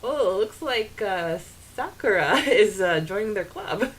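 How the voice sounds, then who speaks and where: A woman co-hosting a podcast, giggly and informal, mixing casual chat with expressive reading aloud. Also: mid-range voice, measured pace. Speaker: podcast co-host. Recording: faint background hiss.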